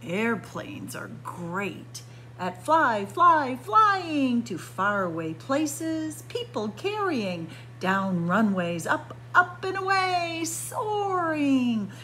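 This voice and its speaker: A woman storyteller reading a picture book aloud to young children in an expressive sing-song way, drawing out and stressing words. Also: sits high, very slow, a little hiss or hum, poor audio. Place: library room with bookshelves, indoors.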